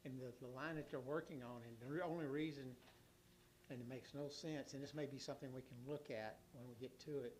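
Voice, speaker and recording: Hoarse man giving a rambling report. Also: medium pitch, steady tone, slight background noise, poor audio. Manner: rambling, halting, many restarts and fillers. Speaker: city official giving a utility report. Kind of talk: council meeting report.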